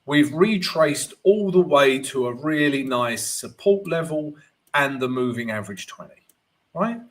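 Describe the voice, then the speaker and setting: A man with a gravelly voice today, hosting a live trading stream and walking viewers through charts. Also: mid-range voice, a little slow. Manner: animated, keeps asking "right?", greets chat viewers. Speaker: forex trading livestream host.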